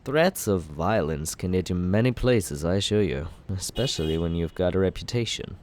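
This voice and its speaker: A man in an ASMR roleplay as a villain, talking smoothly and persuasively in a teasing, flirtatious way. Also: deep voice, lively intonation, moderate pace, a little mellow, a little hiss or hum.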